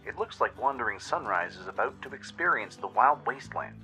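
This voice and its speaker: A man's voice: mid-range voice, lively intonation, brisk, pushed and bright, faint music behind, rough recording. A man giving dramatic scripted narration of a story, as the host of a radio-style show.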